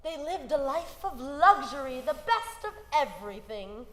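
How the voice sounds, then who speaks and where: A woman's voice: sits high, big pitch swings, measured pace, fairly bright tone. A woman narrating a folk tale theatrically as a live performer, in a roomy hall with some noise. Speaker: storyteller in a performing troupe.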